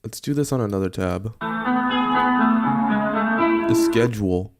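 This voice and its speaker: Casual man reacting out loud. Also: fairly low pitch, a little slow, loud music behind the voice. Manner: informal, amused, thinking aloud. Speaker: reactor, streamer. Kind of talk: casual live reaction commentary.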